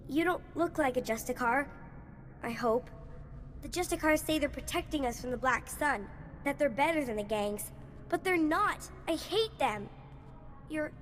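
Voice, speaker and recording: A woman playing a timid, frightened young girl in a video game, stammering anxiously and pleading. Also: high voice. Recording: long reverb, clear background noise.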